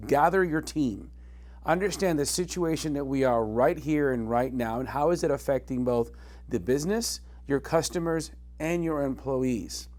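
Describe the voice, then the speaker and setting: A man with a mid-pitched voice speaks as a leadership coach giving practical business advice. His delivery is earnest and instructive, and he leans on key words for emphasis. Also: a little mellow. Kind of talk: direct-to-camera business advice.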